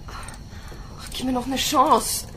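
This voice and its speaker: A woman's voice: high-pitched, fairly even intonation, takes it slowly, bright, forceful tone, slight background noise, exaggerated voice. A woman playing a stubborn but insecure young female recruit in a German science fiction radio drama. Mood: pleading, hesitant.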